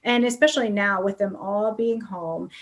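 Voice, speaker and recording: A woman's voice: medium pitch, moderate pace. A middle-aged woman hosting a podcast, talking candidly and relatably in a casual conversational style. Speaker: podcast host.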